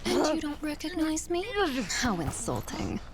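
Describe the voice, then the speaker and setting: A woman's voice: high-pitched, huge pitch swings, gravelly, heavy background noise, exaggerated voice. A woman voicing a teasing, sweetly cruel young female interrogator in a game trailer, with a mocking, menacing manner.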